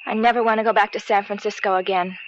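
An actress playing a cheerful, trusting grown daughter in a radio drama, with a sweetly expressive manner. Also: female voice, mid-range voice, quick pace, lightly acted. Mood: earnest. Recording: dull, noisy old radio drama recording.

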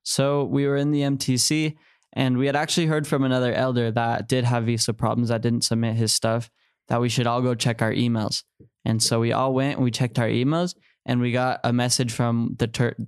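Young man, smooth and even-toned. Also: mid-range voice, normal speed, no room sound, clean studio sound. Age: early 20s. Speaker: podcast guest, returned missionary. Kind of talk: personal storytelling in an interview.

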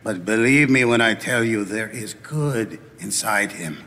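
A man's voice: lowish voice, animated, a little slow, bright, forceful tone. A man playing an earnest, polite older uncle in a live script reading. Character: earnest, polite older uncle pleading for his captured nephew. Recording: echoey hall.

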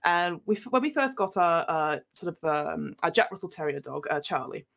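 A woman's voice: lowish voice, expressive, a little fast, vocal fry, fairly bright tone. A woman with a northern English accent from northwest England, a podcast guest telling a story in a rambling, self-mocking way. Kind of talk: casual storytelling chat.

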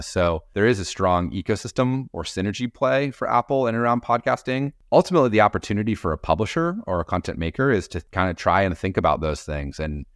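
A man being interviewed as a podcast company executive, answering in a measured, businesslike way with frequent "you know" fillers. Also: deep voice, no room sound, studio quality.

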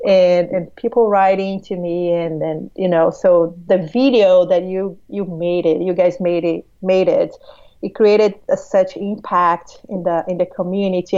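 A woman from Latin America, a native Portuguese speaker with a Brazilian accent, speaking excitedly as a podcast guest with frequent restarts. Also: on the low side, highs cut off. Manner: warm, excited, halting restarts. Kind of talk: conversational interview.

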